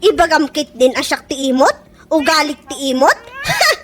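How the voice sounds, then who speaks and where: A woman's voice: sits high, big pitch swings, moderate pace, fairly bright tone. A woman playing a fed-up, indignant friend in an Ilocano comedy radio drama, speaking emphatically with an Ilocano accent. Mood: furious, scolding. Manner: emphatic, scolding.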